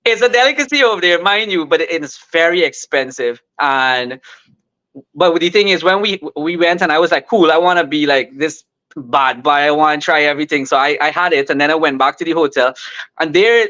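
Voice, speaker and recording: Bright, laughing man on a dull line. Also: a little high. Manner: laughing, rambling, with many restarts. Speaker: musical artist and guest. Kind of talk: casual storytelling on a remote interview. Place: at home.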